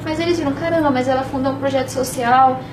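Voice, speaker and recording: A young woman from Bahia in Brazil, talking in a casual interview, animated and often tagging on "sabe?". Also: high voice, recorded from a distance, background noise. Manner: fast, animated, peppered with "sabe?". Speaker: interview guest.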